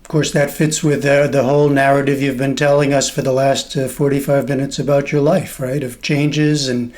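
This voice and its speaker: A man hosting an interview, friendly and conversational. He searches for his words as he puts his questions. His voice sits at an ordinary middle pitch. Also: flat delivery, normal speed, darkish tone.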